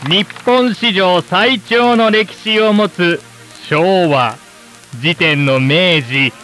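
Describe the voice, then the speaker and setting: A man playing a rousing, showy host of an old-fashioned live TV special, with formal, emphatic, drawn-out announcing. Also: high voice, sharp tone, heavily acted. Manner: grandiose, emphatic, drawn-out phrasing. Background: background music.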